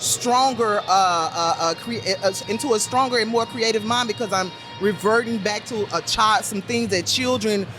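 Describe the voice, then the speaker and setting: A woman with a deep voice talking as a podcast guest, rambling with many restarts and fillers. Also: moderate pace, hardly any pauses, over music. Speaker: guest, puppet show creator. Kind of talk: casual podcast conversation.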